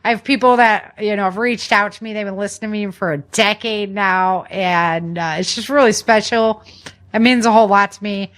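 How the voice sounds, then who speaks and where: A woman hosting a podcast, chatting casually to listeners in an animated, folksy way with informal asides. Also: medium pitch, moderate pace. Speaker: podcast host. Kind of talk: casual news chat to listeners.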